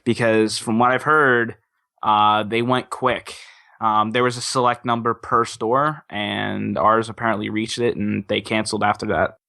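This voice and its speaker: Male gaming podcast panelist, bright forceful voice, excitable and stop-start in casual conversation. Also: mid-range voice.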